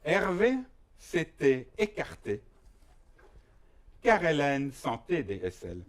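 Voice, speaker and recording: A man from Belgium performing a comic stage monologue in a mock-serious, deliberate way, with pauses for effect. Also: on the high side, wildly swooping pitch. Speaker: stand-up performer.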